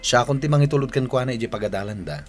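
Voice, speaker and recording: A man's voice: mid-range voice, animated, fast talker, faint music behind, natural voice. A man acting a doting, playful father in an Ilocano radio drama, with a teasing, affectionate delivery. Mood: cheerful coaxing.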